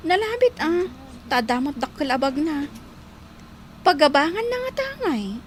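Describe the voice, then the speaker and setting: A woman's voice: high-pitched, big pitch swings, unhurried, a little hiss or hum. A Filipino actress playing an eager, dutiful young daughter in a radio drama, girlish and respectful. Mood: agreeing.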